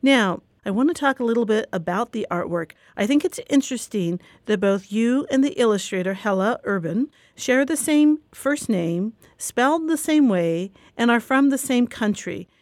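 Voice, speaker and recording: A woman's voice: fairly high pitch, lively intonation, normal speed, hardly any pauses, darkish tone, dry recording. Upbeat woman interviewer, dry sound. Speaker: interview host.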